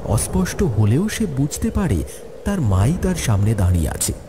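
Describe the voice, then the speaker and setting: A man narrates a horror story in a dramatic, scripted style. His mid-pitched voice moves with lively, expressive swings. Clear storm and insect effects play behind him. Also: normal speed, heavy background noise.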